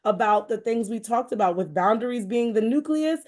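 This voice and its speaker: A woman podcast host with a fairly high voice. She speaks in an encouraging, emphatic manner, circling back on phrases as she shares faith-based motivation in a conversational style. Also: expressive, moderate pace, a little mellow.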